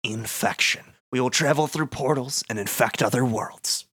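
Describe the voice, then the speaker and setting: A man's voice: medium pitch, moderate pace, fairly bright tone, slightly rough. A man voicing an arrogant, menacing spirit of darkness from a video game script, coldly commanding in formal, declamatory threats. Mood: calm menace.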